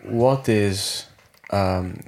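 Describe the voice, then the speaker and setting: A man's voice: lowish voice, very slow, gravelly, a little mellow. A man interviewing a guest, searching for words and rephrasing his questions as he goes.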